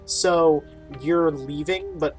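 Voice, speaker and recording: Higher-voiced man doing casual game commentary. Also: fairly even intonation, slow pace. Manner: informal, rambling, wry asides. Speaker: video game playthrough commentator. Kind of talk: casual gameplay commentary, reading on-screen dialogue aloud. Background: game music.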